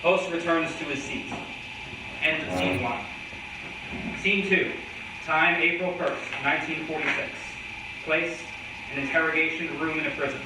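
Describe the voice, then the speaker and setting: A man with a slightly high voice reads stage directions as a narrator. He is far from the microphone in a heavily echoing hall, with clear background noise. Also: normal speed, long pauses. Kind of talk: scripted narration for a staged reading. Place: hall, recorded from a distance. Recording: very echoey hall, far mic, loud noise.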